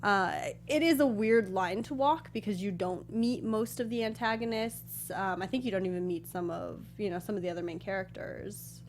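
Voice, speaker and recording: A woman's voice: medium pitch, normal speed, hardly any pauses, faint music behind. An American woman co-hosting a review show in casual, conversational discussion. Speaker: co-host of a film review show. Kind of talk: casual panel chat and review.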